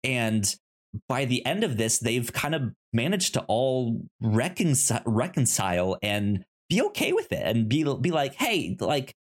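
A man speaks at a middling pitch in a casual podcast discussion. He stutters often, repeating sounds and short words, and rambles informally as he works toward his point. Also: big pitch swings, a little slow. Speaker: podcast co-host. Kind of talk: casual conversational film discussion.

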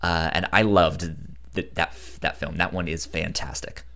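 A man with a stutter and a halting delivery, casually discussing films as a podcast co-host. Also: low voice, steady tone, vocal fry, pushed and bright, a little hiss or hum. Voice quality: stutter, repeats first sounds of words. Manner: halting, hesitant delivery with frequent restarts.

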